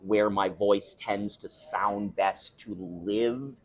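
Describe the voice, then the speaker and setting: A young man with a somewhat low speaking voice chats about his work as an opera singer. He talks in a relaxed, thoughtful way, restarting sentences and laughing now and then. He is being interviewed at a restaurant. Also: drawn-out words, soft background music. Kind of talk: casual interview chat.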